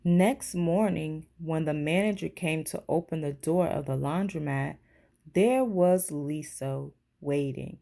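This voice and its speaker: A woman narrates a children's storybook in a teacher's read-aloud style. Her voice is lowish and mellow, with a creaky edge. She reads slowly. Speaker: teacher reading a picture book aloud.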